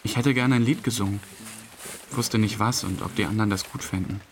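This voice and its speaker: A man's voice: on the low side, brisk, lightly acted. An actor playing a subdued, hesitant man who recalls a friend's burial in plain, short sentences, as narrator of a radio play. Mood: reflective, subdued. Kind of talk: interview-like dramatic monologue, past-tense recollection. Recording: faint rain and ambience noise.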